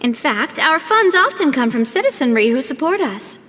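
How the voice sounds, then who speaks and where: An actress voicing a poised, earnest young woman who leads an organization in a game cutscene, with a refined, measured, sincere manner. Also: high-pitched, very animated, fairly bright tone. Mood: wistful, grave. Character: poised young lady leading a crime-fighting organization, earnest and polite. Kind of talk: video game cutscene voice acting.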